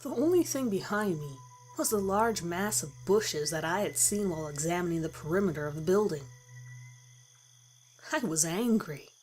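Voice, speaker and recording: Female horror narrator, lower register, dramatic first-person scripted read, faint music underneath. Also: lively intonation, normal speed, a little mellow. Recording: music behind the voice.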